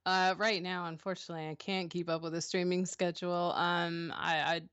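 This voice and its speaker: A woman's voice: lowish voice, level tone, a little fast, fairly bright tone, clean tone, no echo, lo-fi sound. A woman in her thirties, a streamer answering interview questions in a casual, hesitant way, with many ums and restarts. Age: 30s. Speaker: streamer guest.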